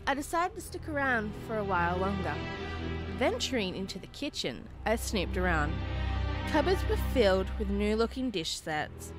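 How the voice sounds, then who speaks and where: Woman reading horror, loud music behind. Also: medium pitch, big pitch swings, moderate pace. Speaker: narrator. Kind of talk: dramatic horror story reading. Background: prominent background music.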